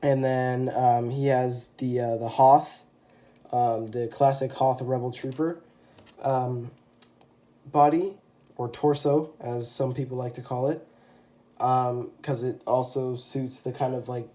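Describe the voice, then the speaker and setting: A man's voice: medium pitch, little pitch movement, takes it slowly, dark tone. Young man with a congested cold voice. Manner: rambling, many ums and self-corrections. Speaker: toy customizer. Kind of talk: casual show-and-tell. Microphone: phone camera.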